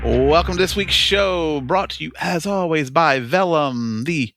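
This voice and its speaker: Jokey male podcast host, bright gravelly voice. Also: on the high side, expressive, a little slow, dry recording, clean studio sound, soft background music. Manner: jokey, informal. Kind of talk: casual banter and show hosting.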